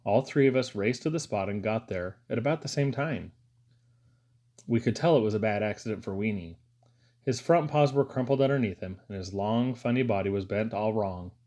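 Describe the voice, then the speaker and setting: A man's voice: fairly low pitch, moderate pace, warm tone. A man reading a first-person story aloud as an audiobook narrator. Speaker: audiobook reader.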